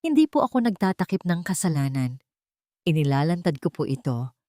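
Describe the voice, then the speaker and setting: A woman's voice: low voice, big pitch swings, brisk, dark tone, dry recording, clean studio sound. A woman narrator as a firm, earnest justice-seeking heroine. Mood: defiant, calm. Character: brave, calm young woman seeking justice.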